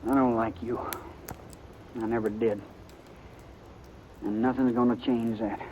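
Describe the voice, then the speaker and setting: A man's voice: mid-range voice, level tone, a little slow, close to an everyday voice. An American man playing a stubborn, plain-spoken young cowboy in a 1950s radio western. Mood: sullen, subdued. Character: blunt, stubborn young cowboy, secretly lovesick. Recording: thin phone-like old radio, noisy.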